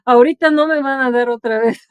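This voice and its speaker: A woman's voice: sits high, brisk, smooth, dry recording, phone-quality sound. A woman in her forties from Bulgaria, speaking as an interview guest. She tells stories animatedly, tags nearly every phrase with a questioning "no", and laughs as she talks. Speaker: interview guest, sinologist and translator. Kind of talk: conversational interview storytelling.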